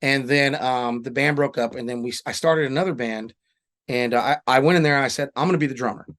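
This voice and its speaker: A man's voice: medium pitch, quick pace, fairly bright tone. A middle-aged man from Southern California, a guest and singer-songwriter, telling stories in casual interview chat with candid, casual swearing.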